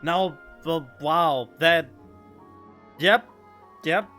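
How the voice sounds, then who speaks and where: A man's voice: on the high side, extremely slow, sharp tone, faint music behind. A man giving casual, playful and wry gaming commentary as a streamer. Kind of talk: casual joking commentary over gameplay.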